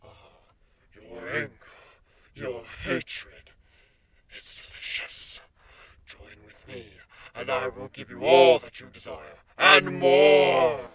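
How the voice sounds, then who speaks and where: An evil spirit in serpent form, tempts with slow, breathy whispers. The delivery is seductive and drawn out, as in a dark fantasy tale, over a murmuring crowd-like layer. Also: male voice, mid-range voice, expressive. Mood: sinister, seductive.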